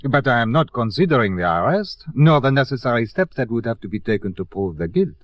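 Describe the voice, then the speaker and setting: A man's voice: mid-range voice, big pitch swings, no room sound, natural voice. A man playing a wary, philosophical Haitian police inspector with a French accent, speaking formally and measuredly in an old-time radio drama. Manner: formal, measured, veiled warnings. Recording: dull vintage radio recording.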